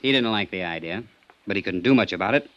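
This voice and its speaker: An actor playing a cynical, streetwise American roadhouse operator in a mid-century radio detective drama, talking brash and slangy. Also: male voice, fairly low pitch, lively intonation, quick pace, highs cut off, lightly acted. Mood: grumbling.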